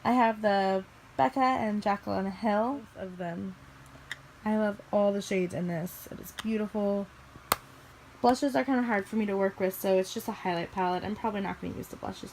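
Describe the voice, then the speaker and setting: A woman's voice: medium pitch, darkish tone. A young woman from the American Midwest vlogging casually about her makeup collection, her voice a little scratchy from allergies, chatty and rambling with small restarts. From Missouri, USA. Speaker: beauty vlogger. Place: bedroom, indoors.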